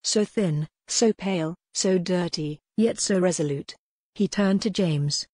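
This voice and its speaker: A woman narrating a historical romance audiobook in a measured, dramatic storytelling manner. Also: on the low side, darkish tone, no room sound, clean studio sound.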